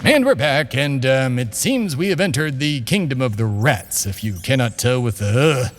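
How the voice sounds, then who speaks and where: An actor playing a pompous, amoral billionaire who embodies America and hosts a comedy audio drama, with formal, theatrical diction. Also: male voice, mid-range voice, lively intonation, normal speed, lightly acted. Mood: grandiose. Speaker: show host. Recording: reverberant hall, music behind.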